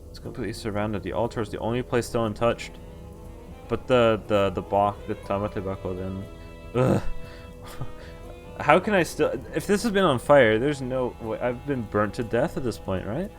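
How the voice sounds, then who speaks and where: A man's voice: mid-range voice, expressive, moderate pace, soft background music. A man streaming a visual novel, reading the text aloud and breaking off into casual asides. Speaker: game streamer.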